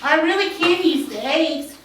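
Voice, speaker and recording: A woman's voice: high voice, expressive, a little slow, a bit bright. A woman at a table read playing a nervous, hesitant young countrywoman, in an echoey room. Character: young, nervous, pretty countrywoman, mildly apologetic. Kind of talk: amateur screenplay table read. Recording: echoey room, far from mic, hiss.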